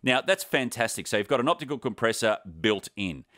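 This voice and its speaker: A man with an Australian accent presenting an enthusiastic microphone review at his home studio desk, talking into a condenser on a boom arm. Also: animated, fairly quick, fairly bright tone. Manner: enthusiastic, upbeat presenter delivery. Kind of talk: product review demo. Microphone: USB condenser on boom arm with pop screen.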